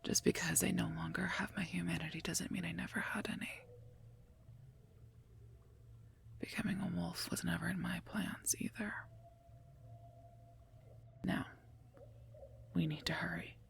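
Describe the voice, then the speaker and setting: A woman as a whispering, gravelly protective werewolf knight. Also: on the low side, level tone, normal speed, long pauses, a little hiss or hum. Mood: serious, urgent. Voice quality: breathy, soft whisper. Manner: formal, gently scolding, earnest. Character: stern, protective female werewolf knight guarding royalty. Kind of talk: whispered ASMR roleplay.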